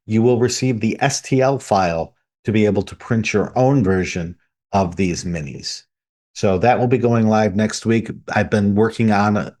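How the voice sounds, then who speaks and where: A man's voice: lowish voice, darkish tone. A man co-hosting a podcast, reading out news items in a conversational way. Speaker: podcast co-host.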